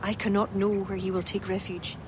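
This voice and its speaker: An actress playing an earnest former druid noblewoman who speaks in a soft, confiding, measured way. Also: medium pitch, flat delivery, natural voice. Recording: game cutscene with clear background noise.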